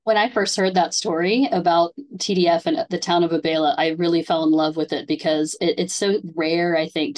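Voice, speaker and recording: A woman's voice: on the low side, a little mellow. A woman hosting a conversational interview, speaking informally and explaining things. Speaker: interview host.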